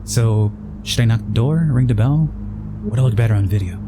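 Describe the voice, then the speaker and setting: A man's voice: medium pitch, moderate pace, music in the background, voice pushed up, close to an everyday voice. A man voicing an ambitious, opportunistic female TV reporter in a horror game, in an assertive, matter-of-fact way. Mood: confident. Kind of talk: reading game dialogue in character.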